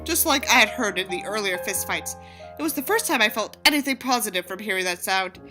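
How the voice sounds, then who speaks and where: Bright-voiced woman, sarcastic, reading and griping. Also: medium pitch, animated, a little fast, soft background music. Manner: exasperated, sarcastic, sweary asides. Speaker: audiobook reader and commentator. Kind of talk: sardonic commentary while reading a novel aloud.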